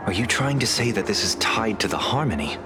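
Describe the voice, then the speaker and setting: Man voicing a low, solemn game gentleman. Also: animated, music in the background, crowd noise, natural voice. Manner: measured, formal, eloquent. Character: composed, solemn gentleman with mind-sensing powers. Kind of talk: video game voice acting.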